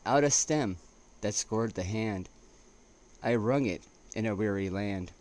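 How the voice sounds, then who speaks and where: A man reading poetry aloud as an amateur, in a halting way with stumbles and restarts. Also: fairly low pitch, a little mellow. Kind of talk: poetry recitation with casual asides. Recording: noticeable background noise.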